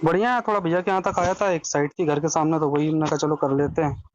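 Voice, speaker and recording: A man's voice: on the high side, steady tone, quick pace, no echo. A man chatting casually and colloquially on a recorded phone call.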